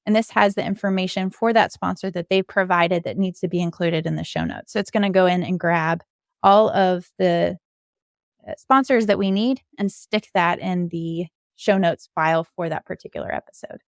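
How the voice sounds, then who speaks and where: A woman's voice: fairly low pitch, moderate pace, creaky, no echo, clean studio sound. A woman giving an informal screen-share coding walkthrough, explaining things step by step as a podcast co-host.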